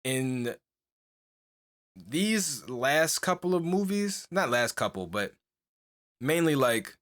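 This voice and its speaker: A man's voice: medium pitch, very animated, takes it slowly, a bit bright. Rambling young man on a podcast. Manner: rambling, full of "like" and restarts, laughs often. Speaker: podcast co-host. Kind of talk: casual chat.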